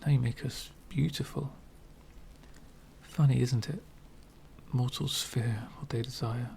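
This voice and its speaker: A man performs a centuries-old vampire gentleman, courteous and melancholy. He speaks in a soft, breathy half-whisper with unhurried pacing and a gravelly creak. It is an intimate ASMR roleplay with faint background noise. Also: mid-range voice, fairly even intonation. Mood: wistful, refined. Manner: refined, wistful, slow and deliberate.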